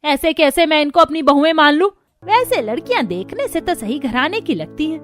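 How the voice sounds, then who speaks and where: Lady doing a bossy greedy cartoon mother in law, really high voice, scolds and orders people around, bit of music under it. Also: sing-song, normal speed. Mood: shocked, grudging. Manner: sharp-tongued, commanding.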